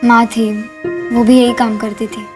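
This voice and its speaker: Actress as a meek guarded young woman, really slow and flat, reserved, crime show scene with music behind. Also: fairly high pitch, distant mic, natural voice. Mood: sombre, confiding. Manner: polite, reserved, terse, apologetic. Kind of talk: TV crime drama acting.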